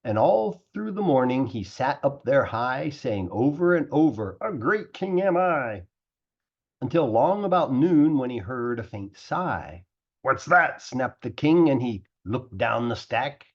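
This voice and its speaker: A man reading a rhyming children's storybook aloud as expressive narration, like a teacher. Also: mid-range voice, measured pace, few pauses, highs cut off.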